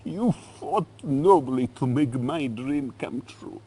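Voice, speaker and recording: A man playing a paranoid, menacing middle-aged Soviet dictator in a game cutscene, theatrical and deliberate. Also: mid-range voice, animated, dark tone, breathy edge. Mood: solemn, grateful. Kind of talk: dramatic game cutscene acting.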